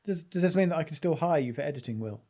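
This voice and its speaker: Higher-voiced man in casual chat. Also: lively intonation, very fast, darkish tone. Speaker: podcast co-host. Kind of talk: casual chat, teasing questions. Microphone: remote video call.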